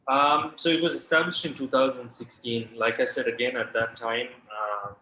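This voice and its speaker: A man from Sri Lanka in his late twenties or thirties, a restaurant founder answering interview questions in a relaxed way with many fillers. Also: medium pitch, normal speed, slight background noise. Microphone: video call. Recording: echoey room with faint ambience.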